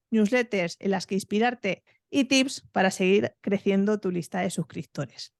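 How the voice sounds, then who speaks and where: A woman's voice: medium pitch, dry recording, clean studio sound. A Spanish woman hosting a podcast, friendly and upbeat, laughing easily as she introduces and interviews. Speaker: podcast host.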